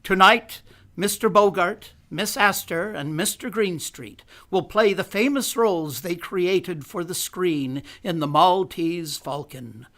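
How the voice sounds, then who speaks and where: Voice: medium pitch, takes it slowly, fairly bright tone. A performer playing a grand old-time radio announcer, formal and emphatic, introducing a broadcast drama. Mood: grand, ceremonial. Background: music.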